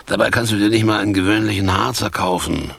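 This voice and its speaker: An actor playing a bitter, boastful down-and-out former stage actor in a German radio play, with a theatrical, sardonic, crude manner and a gravelly voice. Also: male voice, fast, a bit bright, no echo, full character voice. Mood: needling, coaxing. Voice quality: very deep, gravelly, husky.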